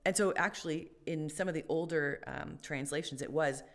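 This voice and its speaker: A woman co-hosting a casual faith podcast, speaking in a low voice with a gravelly edge. She circles her thoughts with fillers and restarts. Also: moderate pace. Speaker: podcast co-host.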